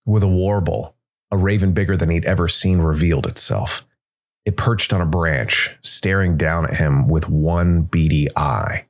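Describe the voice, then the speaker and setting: A man narrating a scripted dark fantasy story in a measured, deliberate way. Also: low voice. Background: music.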